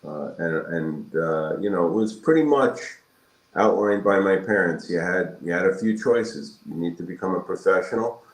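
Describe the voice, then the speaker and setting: A man in his sixties from Brooklyn, answering interview questions in a measured, halting, reflective way with pauses between phrases. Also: low voice, animated. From Brooklyn, New York, United States. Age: 60s. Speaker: guest, periodontal surgeon. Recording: roomy echoing sound.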